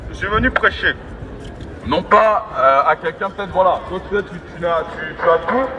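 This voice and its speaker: Man, megaphone street sermon, declamatory, halting repeats, distant, long echoing reverb outdoors. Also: sits high, lo-fi sound. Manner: declamatory, repetitive, halting restarts. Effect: megaphone amplification. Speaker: street evangelist. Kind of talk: open-air evangelistic preaching. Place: outdoors in a busy urban public space. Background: street crowd and vehicles.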